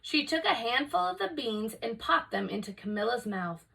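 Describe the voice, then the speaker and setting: A woman narrating a children's picture book aloud in an expressive, scripted read-aloud style, picked up from slightly off the microphone. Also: fairly high pitch, normal speed, creaky, far from the mic, poor audio. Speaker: storybook reader for remote learning.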